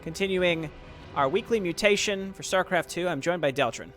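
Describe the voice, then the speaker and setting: A man's voice: sits high, moderate pace, fairly bright tone, soft background music. A man hosting a casual gaming chat with a co-op partner, chatty and laughing often. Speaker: host.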